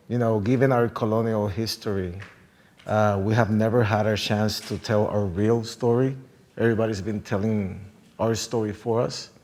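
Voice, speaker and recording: A man with a low-pitched voice speaks as a film director on a panel. He tells personal stories in a conversational way. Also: a little mellow. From Puerto Rico.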